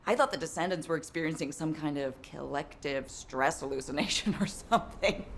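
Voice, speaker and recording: An actress playing an excitable, curious, outspoken young woman engineer, chatty and exuberant, in a video game. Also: sits low, normal speed, a little hiss or hum, heavily acted. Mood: playful, fascinated. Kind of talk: video game cutscene acting.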